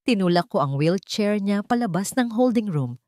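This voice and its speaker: A woman's voice: mid-range voice, animated, moderate pace, darkish tone, dry recording. A woman reading a scripted dramatic story as a storyteller.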